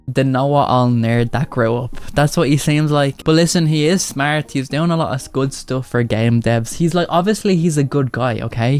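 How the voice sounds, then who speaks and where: A man's voice: mid-range voice, a little mellow, clean tone. A man talks through video clips in a loose, casual commentary. He is blunt and mocking and drops swear words freely, with faint music under him. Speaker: commentary YouTuber.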